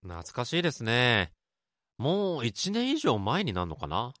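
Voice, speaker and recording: A man's voice: mid-range voice, huge pitch swings, unhurried, a bit bright, dry recording, studio quality. A man playing a polite, easygoing junior office worker among friends in a Japanese radio drama. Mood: nostalgic, eager.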